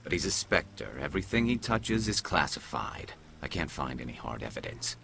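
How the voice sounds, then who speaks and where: A man voicing a determined, suspicious alien police investigator in a video game, with a gravelly voice. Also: very deep voice, sing-song, normal speed, pushed and bright, a little hiss or hum, exaggerated voice.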